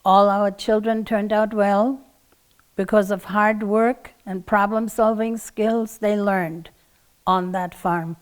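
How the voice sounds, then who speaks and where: An elderly woman in her eighties, a native German speaker with a German accent, giving a prepared talk in a measured, formal manner. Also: medium pitch, steady tone, clear, resonant tone. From Czechoslovakia and Germany. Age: 80s. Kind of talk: prepared talk, personal recollection and presentation.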